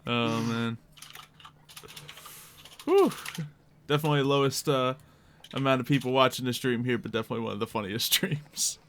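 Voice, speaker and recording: A man's voice: mid-range voice, animated, moderate pace, pauses often. A man hosting a tabletop game stream as game master, chatting casually and jokily. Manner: jokey, laughs often.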